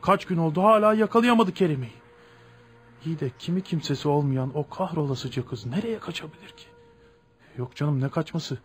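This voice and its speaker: An actor playing a frightened, grumbling farm steward in a Turkish radio play, in a theatrical, agitated manner. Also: male voice, on the high side, a little fast, natural voice. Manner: theatrical, agitated, pleading when threatened. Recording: music behind a radio drama.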